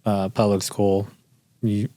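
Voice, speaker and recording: A man who grew up speaking Korean at home, a guest on a podcast. He answers in a relaxed, plain way with light fillers. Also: on the low side, takes it slowly, no echo. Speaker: guest, real estate entrepreneur. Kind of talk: casual interview conversation.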